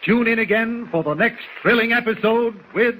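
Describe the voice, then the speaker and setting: A man announcing a 1940s radio serial in an excited style. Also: very high voice, moderate pace. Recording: very noisy 1940s radio recording.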